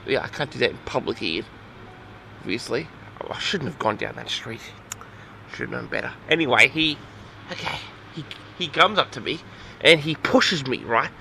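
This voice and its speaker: A man's voice: medium pitch, animated, moderate pace, vocal fry, long pauses, bright, forceful tone, slight background noise. A man telling a story casually as host of an audio log, rambling in an awkward, flustered, exasperated way.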